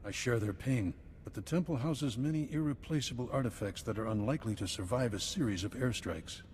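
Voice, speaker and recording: Game cast: man as a steady Jedi master, solemn delivery, long reverb. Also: mid-range voice, a little fast, a little hiss or hum, natural voice. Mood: calm. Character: wise male Jedi master, calm and steady. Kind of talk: game voice acting.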